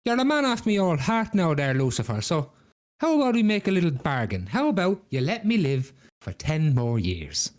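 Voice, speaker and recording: A sly Irish drunkard from a comic folk tale, acted out by a man. He pitches his voice up and lets it swoop as he wheedles. His talk is cheeky, chatty and roundabout, always angling for a bargain. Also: big pitch swings, normal speed. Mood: flattering.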